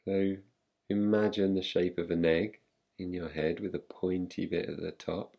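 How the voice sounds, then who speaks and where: A man guiding a meditation in a calm, gentle, unhurried manner, leaving long pauses between phrases. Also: low voice, creaky, muffled recording. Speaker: meditation guide. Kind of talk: guided meditation, yoga nidra.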